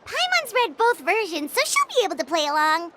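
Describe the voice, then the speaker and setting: A woman voicing a small, excitable, childlike fairy companion in a video game, exclamatory and talking about herself in the third person. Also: extremely high pitch, a little fast, heavy background noise. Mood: playful.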